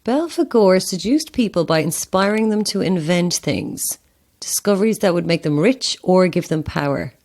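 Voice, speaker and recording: A woman's voice: fairly low pitch, lively intonation, normal speed, a little mellow. A woman with an Irish accent playing a curious, easygoing tourist in a naturalistic audio horror drama, conversational and thoughtful. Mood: intrigued, reading. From Ireland.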